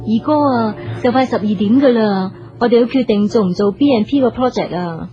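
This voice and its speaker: An actress playing a sensible, soothing sister in a family business radio drama, with a Hong Kong Cantonese accent. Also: female voice, on the high side, brisk, highs cut off, music in the background, close to an everyday voice. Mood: anxious, persuasive.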